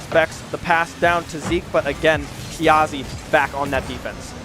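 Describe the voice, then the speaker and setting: A young man commentates a college esports match in a fairly high voice that has gone hoarse over a long weekend. He talks fast, hedges with "I mean" and keeps checking in with "right?". Faint game music plays behind him. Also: fairly even intonation. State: hoarse, losing his voice. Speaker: esports caster. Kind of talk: play-by-play commentary.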